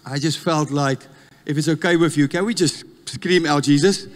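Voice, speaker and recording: A man in his fifties with a South African Afrikaans accent, preaching a sermon and telling stories with lively, self-mocking humour. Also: fairly high pitch, moderate pace. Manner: storytelling, animated, laughs at himself. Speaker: guest preacher. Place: church hall. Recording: long church reverb.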